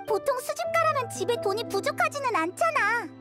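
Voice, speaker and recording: Casting: tiny curious fairy sidekick for a game, very high childlike voice, clear music underneath. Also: female voice, expressive, brisk. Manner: cute, childlike, exclamatory. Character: small childlike fairy companion, curious and innocent. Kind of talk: video game voice acting.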